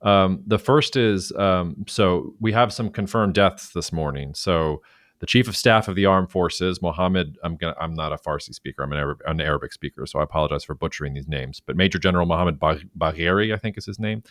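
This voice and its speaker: A man's voice: sits low, fairly quick, dry recording, clean studio sound. A man co-hosting a podcast, talking through news in a loose, chatty way with fillers and restarts. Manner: talkative, many fillers and restarts, animated when quoting. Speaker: podcast co-host. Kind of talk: casual current-affairs discussion.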